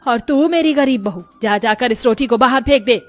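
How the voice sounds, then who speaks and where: A woman's voice: on the high side, normal speed, lightly acted. A middle-aged matriarch, acted by a woman, domineering and emphatic. She passes judgement in cartoon moral-story style, with music under the voice. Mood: scornful. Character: domineering middle-aged mother-in-law, effusive then scornful.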